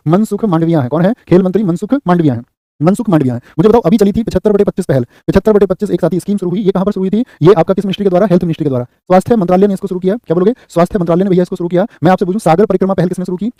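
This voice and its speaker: Male coach, high voice, very fast repeating quiz delivery. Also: dark tone, no echo, studio quality. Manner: rapid, repeats answers and asks "what will you say?". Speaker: exam coaching teacher. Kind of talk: live online class, quizzing students.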